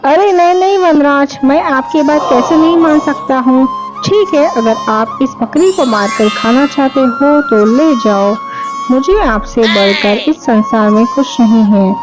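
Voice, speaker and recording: A woman's voice: high-pitched, measured pace, low-quality recording. A woman voicing a greedy, wicked male wolf in a cartoon story, sly and gloating. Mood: fawning. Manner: sly, gloating, then fawning. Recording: background music.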